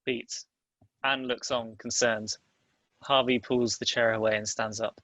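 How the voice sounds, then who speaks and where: A man's voice: medium pitch, flat delivery, a little slow, fairly bright tone, no room sound, rough recording. A man narrating stage directions in a scripted table read.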